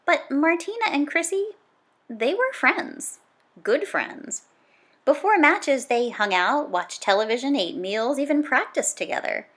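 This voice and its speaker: Female read-aloud narrator for children's nonfiction, slightly high pitch, very lively intonation, dramatic emphasis and punchy delivery. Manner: dramatic, emphatic delivery with punchy exclamations and drawn-out key words. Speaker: storybook read-aloud reader.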